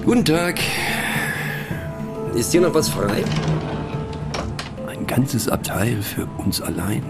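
An actor playing a musing, mocking train passenger in a radio play, talking ponderously with hushed asides and a creaky voice. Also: male voice, medium pitch, sing-song, takes it slowly, over loud music. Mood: musing, hesitant.

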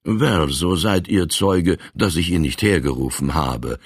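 A man voicing a calm, fearless giant frontiersman who speaks with cool, firm command in an audiobook. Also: low-pitched, measured pace, slightly rough, dry recording, clean studio sound, heavily acted. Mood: composed. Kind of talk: audiobook character voice.

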